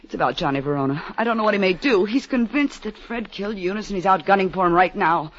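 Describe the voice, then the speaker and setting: A woman's voice: mid-range voice, lively intonation, fast, lightly acted. An American woman playing a scheming, pleading femme fatale in 1940s radio melodrama style. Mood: anxious, pleading. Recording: muffled, hissy vintage radio recording.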